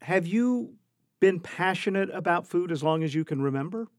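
A man with a somewhat high voice conducts an interview in measured, conversational phrases. The sound is very dry, with no room around the voice. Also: lively intonation, dry recording.

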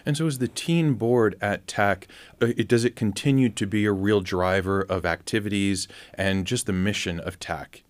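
A man hosting a conversational interview, asking measured, inquisitive questions as he goes. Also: lowish voice, dry recording.

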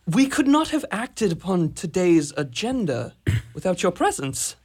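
A man playing a courtly, scheming Roman senator in an amateur Shakespearean radio drama, husky and declamatory. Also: sits high, big pitch swings, noisy background. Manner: slow, declamatory, courtly phrasing. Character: formal, flattering, conspiring Roman senator.

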